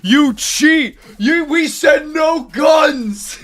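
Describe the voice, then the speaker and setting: A man voicing an indignant orc who whines and shouts with drawn-out, aggrieved words. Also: very high voice, faint music behind, voice pushed up, full character voice. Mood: outraged. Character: indignant, whining orc protesting a cheat.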